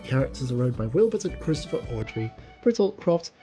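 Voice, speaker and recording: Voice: medium pitch, sing-song, brisk, mellow, soft background music. An announcer reading scripted end credits for an audio production.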